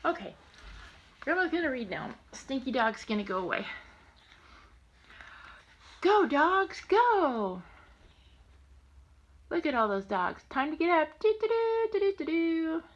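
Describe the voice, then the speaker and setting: A woman's voice: high voice, big pitch swings, unhurried, pauses often, muffled recording. An older woman, a grandmother reading a picture book and chatting to her young grandchild in a playful, sing-song, affectionate way. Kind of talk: animated read-aloud and affectionate chat to a young child.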